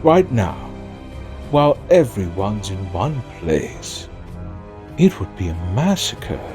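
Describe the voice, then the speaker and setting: A man playing a sardonic, amused villain who narrates an audio drama in a theatrical, mocking, drawn-out way. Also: lowish voice, sing-song, mellow, soft background music. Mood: taunting.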